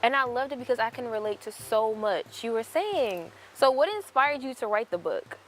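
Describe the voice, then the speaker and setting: A woman hosts a relaxed sit-down interview with an upbeat, encouraging manner. Her voice sits a bit high, and she keeps affirming her guest as she asks questions. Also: a little hiss or hum. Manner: enthusiastic, affirming, quick to encourage. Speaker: interview host. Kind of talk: casual interview conversation.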